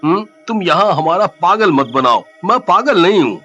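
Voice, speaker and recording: A man playing a cocky, mocking young man in a Hindi audio story, with drawn-out, exaggerated, taunting delivery. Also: high voice, big pitch swings, sharp tone, highs cut off, soft background music, heavily acted. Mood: scoffing, annoyed.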